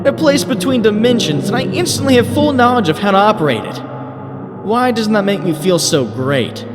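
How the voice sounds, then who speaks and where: Guy doing young smartass superhero for a comic dub, dramatic and wry, super animated, music behind. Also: high-pitched, normal speed. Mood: wry, sardonic. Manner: sardonic, quippy, theatrical inner monologue. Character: young wisecracking superhero, self-pitying yet dauntless. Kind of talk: comic dub character acting.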